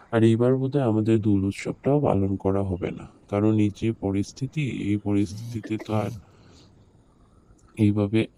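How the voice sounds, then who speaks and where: A man's voice: fairly low pitch, dark tone, close to an everyday voice. A man voicing a fearful, deferential village servant in a horror cartoon, in a plaintive, lamenting way. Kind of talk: horror cartoon story dubbing.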